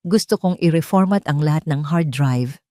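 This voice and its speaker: A woman's voice: sits low, normal speed, mellow, no room sound, studio quality, close to an everyday voice. A woman narrator with a Filipino accent voicing a rich, angry, commanding villainous stepmother, curt and bossy, in an audio story. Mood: furious, commanding.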